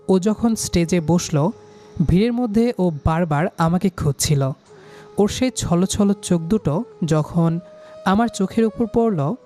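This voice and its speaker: A man's voice: high-pitched, warm tone. A man narrates a romantic story in the first person with emotive, dramatic delivery. His voice is scripted storytelling throughout, with music underneath.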